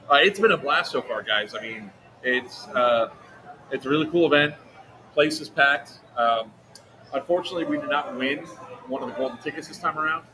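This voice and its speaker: A man's voice: medium pitch, sharp tone, poor audio. A man hosting a casual livestream from an outdoor event, talking loosely and laughing easily. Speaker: host. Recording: clear background event noise.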